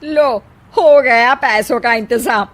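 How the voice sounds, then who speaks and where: A woman's voice: on the high side, slow, fairly bright tone. Lady doing a meddling drama-queen auntie, really drags her words, storybook style. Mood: sly, wheedling. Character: scheming, melodramatic middle-aged aunt pushing a marriage match. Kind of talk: Hindi moral story dramatization.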